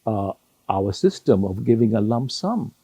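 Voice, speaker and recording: An older man whose native language is Sindhi, answering interview questions in a measured way with many pauses and restarts. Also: fairly low pitch, very animated, mellow, breathy edge, no echo. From Hong Kong. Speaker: retired judge, interview guest.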